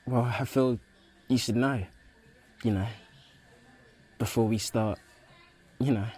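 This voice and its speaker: A man's voice: mid-range voice, steady tone, takes it slowly, warm tone. An actor playing an earnest, open young religious man in a naturalistic radio play, explaining himself thoughtfully. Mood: thoughtful, candid.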